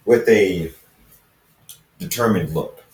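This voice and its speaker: Halting man reading a story, echoey room. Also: deep voice, darkish tone. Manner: halting, repeats and corrects phrases. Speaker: fan fiction reader. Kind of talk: amateur story narration with acted dialogue. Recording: echoey room, distant microphone.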